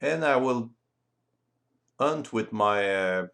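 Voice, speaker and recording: Slow, lilting Frenchman explaining a game. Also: mid-range voice, lively intonation, vocal fry, fairly bright tone. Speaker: board game rules presenter. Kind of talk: explanatory walkthrough of game play.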